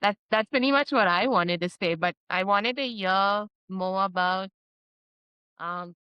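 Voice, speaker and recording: A woman's voice: mid-range voice, a little slow, clean tone, no echo, lo-fi sound. A woman co-hosting a podcast, chatting casually and thinking aloud with fillers. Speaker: podcast co-host. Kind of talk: casual conversation.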